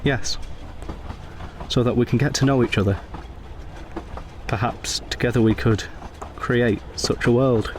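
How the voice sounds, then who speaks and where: Brooding Edwardian gentleman soldier played by an actor, vehicle noise. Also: mid-range voice, fairly even intonation, a little slow, pauses often, close to an everyday voice. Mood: gentle, courteous. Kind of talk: radio drama narration and dialogue.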